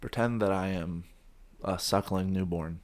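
A young man hosting a casual podcast, his voice hoarse from shouting, talking in a goofy, rambling way with frequent restarts. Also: deep voice, a little slow. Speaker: podcast host. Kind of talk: casual chat.

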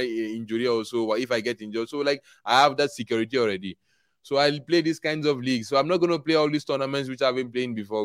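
Earnest young man, smooth voice. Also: medium pitch, no room sound. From Nigeria. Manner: earnest, rambling with many fillers and laughs. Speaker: guest. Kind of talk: casual interview chat.

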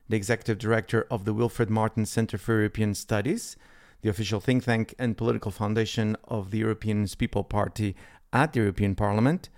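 A man hosting a podcast, introducing guests in a measured, formal way. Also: fairly low pitch, darkish tone. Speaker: podcast host. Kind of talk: scripted podcast intro and interview.

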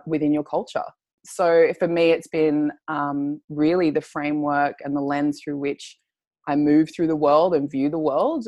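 A young Australian woman guest, speaking earnestly and searching for words, with many pauses and ums. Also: deep voice, darkish tone, no room sound. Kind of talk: reflective personal storytelling.

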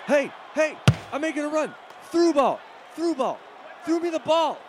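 Cartoon rich brat schoolboy, male actor, cocky, sarcastic, high and very animated, faint noise behind. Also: measured pace, a crowd in the background, full character voice. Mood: impatient. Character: bratty, swaggering, foul-mouthed rich schoolboy. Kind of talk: animated comedy voice acting.

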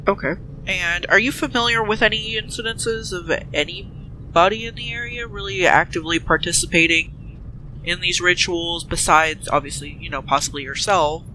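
High-pitched, very bright-voiced male player. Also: moderate pace, a little hiss or hum, rough recording. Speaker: player in a tabletop role-playing game. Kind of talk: casual improvised in-character dialogue.

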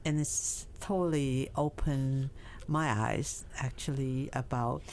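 Low-voiced woman. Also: steady tone, a little mellow, a little hiss or hum. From Hong Kong. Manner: hesitant, halting speech with many fillers. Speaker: documentary filmmaker and teacher.

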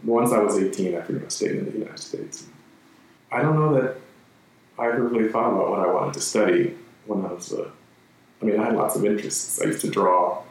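Interview guest: man from Chile, low voice, hesitant and reflective, recorded distant and echoey. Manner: thoughtful, halting, many restarts and fillers. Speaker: guest, architect and screen printer. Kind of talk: relaxed conversational interview.

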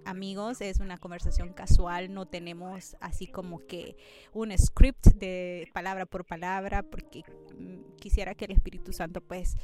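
A woman hosting a casual conversational interview, speaking in short, hesitant phrases broken by pauses. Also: mid-range voice. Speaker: interview host.

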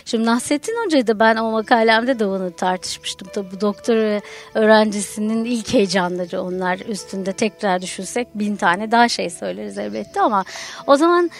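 A woman guest on a radio show, a folklore researcher explaining ideas in a lively way with anecdotes. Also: medium pitch, brisk, gravelly, soft background music. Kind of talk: radio conversation. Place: radio studio.

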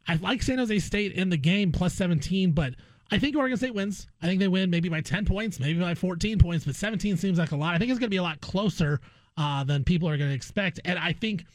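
A man's voice: high voice, no echo. An American man hosting a sports talk show, giving his opinions in casual, conversational analysis.

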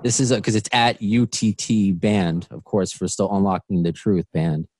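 A man's voice: lowish voice, level tone, normal speed, darkish tone, a little hiss or hum. A man in his late thirties hosting a podcast interview, chatty, laughing often and restarting sentences. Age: 30s. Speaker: podcast host. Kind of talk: casual interview.

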